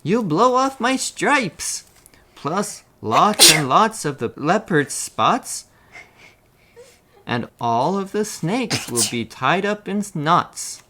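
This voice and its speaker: A man's voice: high-pitched, big pitch swings, slow pace, bright, forceful tone, voice pushed up, full character voice. A man voicing an alarmed, frantic zebra in a children's storybook.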